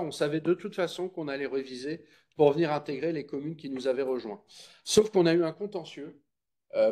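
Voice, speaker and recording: A man with a soft-edged, mellow voice presents at a public meeting. He explains in an informal, didactic style, talking to his listeners directly and checking that they follow. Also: medium pitch.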